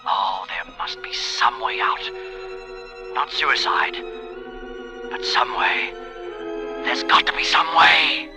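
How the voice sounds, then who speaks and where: A man's voice: medium pitch, expressive, gravelly, music in the background. An actor playing a weary, fatalistic man in his fifties, brooding aloud in a noisy 1940s radio drama. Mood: bitter, grim. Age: 50s. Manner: brooding, dramatic, haltingly musing. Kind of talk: 1940s radio drama acting, interior monologue. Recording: noisy, hissy 1940s radio broadcast recording.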